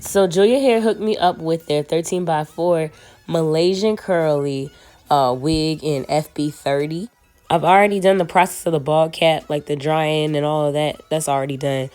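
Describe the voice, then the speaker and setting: A young woman, hoarse from a cold, chatting casually to viewers as she walks through a wig install. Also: deep voice, normal speed, a little mellow, faint music behind. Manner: casual and chatty, slangy, addresses viewers as y'all. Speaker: beauty vlogger. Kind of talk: casual wig tutorial chat.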